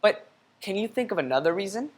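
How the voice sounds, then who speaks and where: A woman reading a children's storybook aloud in an expressive, teacherly way, pausing to put questions to young listeners. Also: mid-range voice. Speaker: storybook reader.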